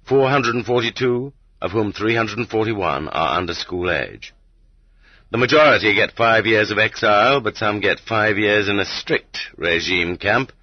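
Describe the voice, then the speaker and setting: Male audiobook reader, husky, dry studio sound slightly muffled, measured and dramatic emphasis. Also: lowish voice, no echo. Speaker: audiobook narrator. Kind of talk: scripted audiobook narration.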